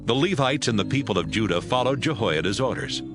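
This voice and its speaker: A man's voice: medium pitch, expressive, brisk. A man narrating scripture as a dramatic, scripted audio Bible reading. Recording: music bed behind voice.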